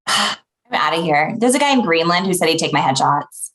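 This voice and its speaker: A woman's voice: fairly low pitch, expressive, moderate pace, fairly bright tone, rough recording. Woman as blunt, dismissive young elf actress. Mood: fed up. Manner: dry, dismissive. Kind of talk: comedy table read.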